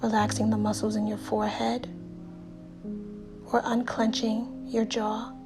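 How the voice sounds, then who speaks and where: Soothing high-voiced woman guiding meditation. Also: little pitch movement. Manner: soothing, unhurried, deliberate pauses. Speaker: meditation guide. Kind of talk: guided meditation narration. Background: music.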